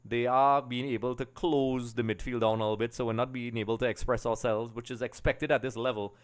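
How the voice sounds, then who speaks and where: A man's voice: mid-range voice, steady tone, brisk, clean tone. A middle-aged man from Trinidad and Tobago, chatting casually to viewers as he hosts a football watch-along in a rambling way. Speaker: livestream host. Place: small home streaming room. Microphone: desk microphone on a boom arm.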